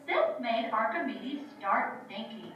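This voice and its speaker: A woman's voice: on the high side, animated, slow pace, dull audio. A woman narrator reading a scripted story for a children's puppet show. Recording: very echoey room, distant mic, faint noise.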